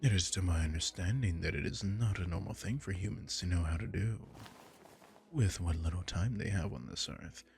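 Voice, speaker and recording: A man voicing an arrogant aristocratic male vampire lord in an ASMR audio roleplay, speaking in a slow, low, menacing seductive murmur. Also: creaky, darkish tone. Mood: haughty.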